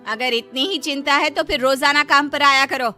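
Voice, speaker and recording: A woman's voice: high-pitched, moderate pace, faint music behind, voice pushed up. A woman playing a stern, demanding wealthy employer scolding in a dramatized story. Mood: threatening. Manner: sharp, demanding.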